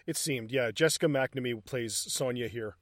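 A man's voice: medium pitch, normal speed, no room sound, clean studio sound. A man co-hosting a casual movie review chat, walking through scenes and swearing casually. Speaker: movie review podcast co-host.

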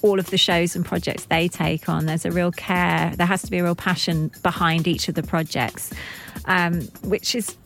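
A woman with a slightly low voice co-hosts a podcast, with quiet music underneath. She talks in an earnest, appreciative, conversational way. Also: flat delivery, normal speed, gravelly. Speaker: podcast co-host.

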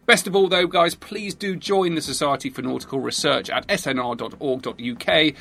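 A man's voice: on the high side, fairly quick, gravelly, pushed and bright. A man with a British accent hosting a history podcast, presenting and interviewing in an enthusiastic, emphatic way.

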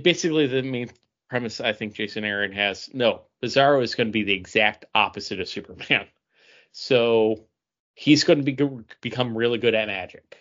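A man's voice: mid-range voice, a bit bright. A man co-hosting a podcast, chatting casually through reviews and often stopping to search for words. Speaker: podcast co-host. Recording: dull lo-fi sound.

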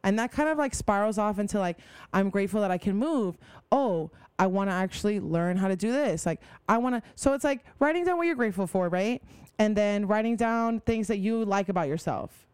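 A woman's voice: medium pitch, expressive, fairly quick, a little mellow, clean tone, no echo. A young woman guest on a podcast, talking enthusiastically in a rambling way with lots of "like.". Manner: enthusiastic, rambling, many "like" fillers. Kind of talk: casual conversation.

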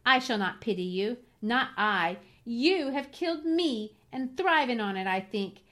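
A woman's voice: fairly high pitch, animated, a little slow, fairly bright tone, voice pushed up. A woman voicing a passionate, reproachful dying young woman in a dramatic novel reading, emphatic and pleading. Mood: bitter reproach.

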